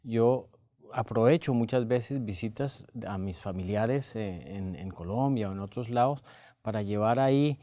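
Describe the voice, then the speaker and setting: A man in casual discussion as a church leader, speaking haltingly and repeating words. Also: lowish voice, warm tone, dry recording.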